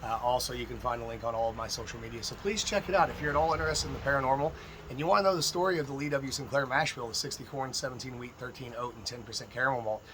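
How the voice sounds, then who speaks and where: A man with a slightly froggy, hoarse voice gives informal, emphatic instruction as a distilling teacher talking to viewers. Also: mid-range voice, very fast, fairly bright tone, a little hiss or hum, rough recording. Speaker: distilling instructor and video host. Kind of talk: informal instructional talk to camera.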